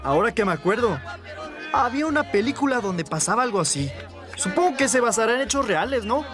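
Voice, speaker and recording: An actor playing a jovial, glib police lieutenant with expansive, courteous, theatrical speech in a radio drama. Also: male voice, very high voice, sing-song, brisk, music in the background. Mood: glib, reassuring.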